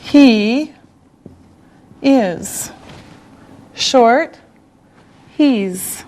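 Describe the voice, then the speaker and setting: A woman leads a beginner English lesson in a patient, encouraging classroom tone. Her voice sits a little high, and she speaks extremely slowly. She breaks each phrase into single words and repeats every pattern. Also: expressive, a little mellow, a little hiss or hum. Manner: very slow and deliberate, one word at a time, with repetition. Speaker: English teacher. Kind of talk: scripted language lesson for beginners.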